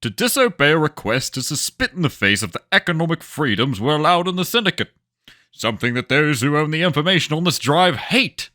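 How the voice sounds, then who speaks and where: Sci-fi radio drama: male actor as haughty rich client, male, pompous and wordy, with swooping pitch, easily rattled. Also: big pitch swings, a little fast, pushed and bright. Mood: haughty, indignant. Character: self-important wealthy corporate client, haughty, easily panicked. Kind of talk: sci-fi audio drama acting.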